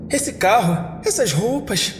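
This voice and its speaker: A man voicing Bella, a young woman, in a falsetto, with a tone of disbelief, over music. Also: lively intonation, slow, roomy sound, in a large hall, slight background noise. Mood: astonished. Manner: high-pitched, incredulous. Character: startled young woman, stylish date. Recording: narration over loud background music.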